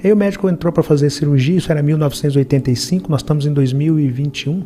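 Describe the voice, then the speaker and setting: Podcast guest, forties, Minas Gerais Brazilian accent; earnest storyteller with a mellow voice pitched a bit high, faint music underneath. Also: male voice, very fast. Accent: Minas Gerais Brazilian Portuguese. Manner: earnest storyteller, acts out quoted dialogue. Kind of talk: personal life story told in a podcast interview. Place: studio with brick wall and purple lights. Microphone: studio microphone on a boom arm.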